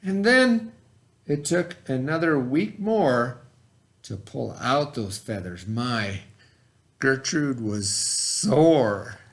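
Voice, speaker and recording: Slow, very animated male storybook reader. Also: medium pitch. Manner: theatrical, dramatic pauses. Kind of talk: expressive read-aloud of rhyming children's story.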